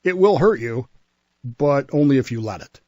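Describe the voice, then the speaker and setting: A man's voice: medium pitch, expressive, moderate pace, darkish tone, dry recording, lo-fi sound. Man explaining history, very dry close sound. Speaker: radio show guest historian; former nuclear submarine serviceman.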